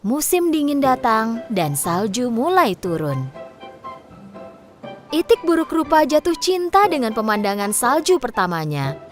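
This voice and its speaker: A woman narrating a scripted children's story as a storyteller. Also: on the high side. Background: music.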